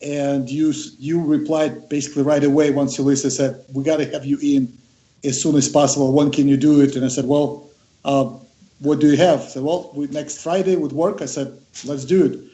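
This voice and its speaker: A man's voice: a little high, flat delivery, normal speed, mellow, roomy sound, rough recording. A man from Siberia, Russia, speaking as an interview guest and telling stories in a friendly manner.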